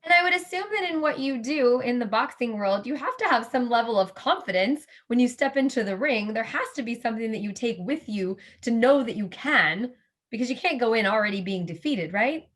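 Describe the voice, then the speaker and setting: Female interviewer, voice a bit on the high side. Courteous, appreciative, conversational questioning. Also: expressive, a little fast, a bit bright. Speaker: interview host.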